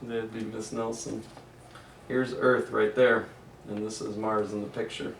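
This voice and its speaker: A man, a PE teacher, reading a picture book aloud to children and gently asking them questions, recorded in an echoey room away from the mic. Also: fairly low pitch, level tone, a little slow, vocal fry, recorded from a distance, a little hiss or hum. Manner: gentle, asks the kids questions, chuckles.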